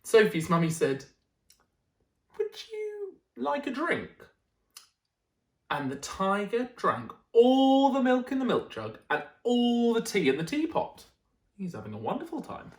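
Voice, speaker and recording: A man reads a picture book to children in a highly varied, expressive voice. He stresses key words dramatically and adds little asides to his listeners. The room gives the sound an audible echo. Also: moderate pace. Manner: animated, dramatic emphasis with friendly asides to listeners. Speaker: storyteller reading bedtime stories to children.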